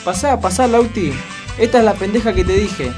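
A woman's voice: mid-range voice, moderate pace, over music, close to an everyday voice. A woman playing a scheming, pushy teenage girl who feigns friendliness in an amateur radio drama. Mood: bossy, callous.

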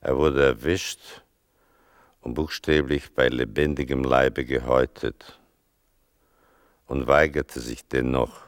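A man playing a grave war veteran narrating his memories in a radio drama, deliberate and measured, with a gravelly voice. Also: a bass voice, steady tone, dry recording, studio quality. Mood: sombre, reflective. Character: grave male war veteran recalling his mother and wartime.

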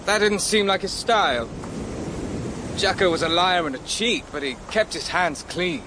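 An actor playing a bitter, cynical adopted son in a radio drama, terse and sardonic. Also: male voice, high voice, expressive, moderate pace, background noise. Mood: wary, cynical. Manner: terse, dry.

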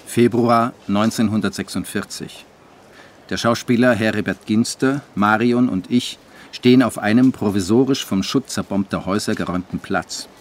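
A low-voiced man , played by an actor, narrates as a disillusioned writer in a radio drama. His delivery is measured and literary. There is some noise in the background. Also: close to an everyday voice. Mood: sombre.

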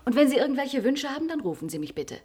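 An actress playing a polite, breezy attendant at an eerie clinic in a German radio play, courteous and reassuring. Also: female voice, high-pitched, big pitch swings, fast talker, warm tone. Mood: helpful, breezy.

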